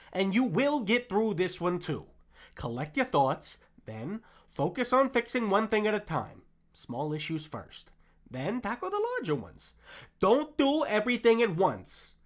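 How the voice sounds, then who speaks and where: A man voicing a fast-talking, wisecracking meerkat sidekick with a nasal, brash voice, giving streetwise comfort in an audio roleplay. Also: high-pitched. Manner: wry, pep-talking, streetwise. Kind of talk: comforting character roleplay with impressions.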